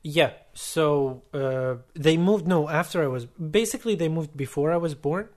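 A man's voice: a little high, normal speed, a little mellow. A young man whose first language is Arabic, telling his story in casual chat, earnest and wry, with many fillers and hesitations. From Saudi Arabia.

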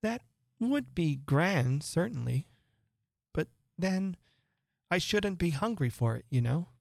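A woman's voice: fairly low pitch, big pitch swings, slow, creaky, a little mellow, no room sound, studio quality, pitched above the performer's own voice, heavily acted. A woman voicing a polite, curious young girl who speaks earnestly. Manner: earnest, wondering.

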